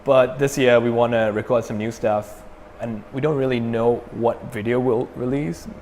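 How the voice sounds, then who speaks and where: A man's voice: medium pitch, moderate pace, big-room sound, a little hiss or hum. A young man from Sri Lanka, a metal band frontman, chatting casually as an interview guest with lots of fillers and restarts. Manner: relaxed, many fillers and restarts.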